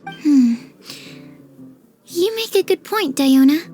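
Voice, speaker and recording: A shy young researcher girl from a video game. Her voice is extremely high and earnest, with a hesitant, modest delivery. Soft music sits behind it. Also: expressive, slow. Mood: humble. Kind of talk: English game dub acting. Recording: studio recording over background music. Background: game background music.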